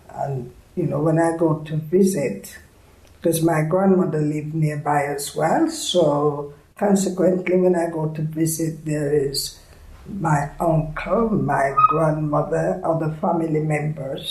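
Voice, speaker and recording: A woman in her seventies with a Jamaican accent, recalling her life haltingly in an oral history interview. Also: low voice, gravelly, darkish tone. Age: 70s. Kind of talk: reminiscing in an interview. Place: indoors at an arts centre. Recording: echoey room.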